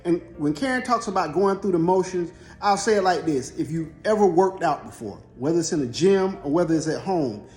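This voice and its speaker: A man's voice: on the high side, animated, a little fast, hardly any pauses, darkish tone, faint music behind. An American man co-hosting a casual advice talk, telling motivational analogies with emphatic, repeated phrasing. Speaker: co-host giving marriage advice. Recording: roomy echo.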